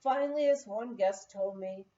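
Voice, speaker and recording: A woman reading a humorous memoir aloud as scripted narration, in an unhurried storytelling manner, on a slightly dull recording. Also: mid-range voice, warm tone. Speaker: audiobook reader.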